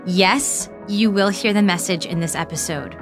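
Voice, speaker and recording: A woman's voice: mid-range voice, normal speed, music in the background, lightly acted. A woman with an American accent playing an eager, teasing podcast host narrating a documentary mystery. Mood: eager, intriguing.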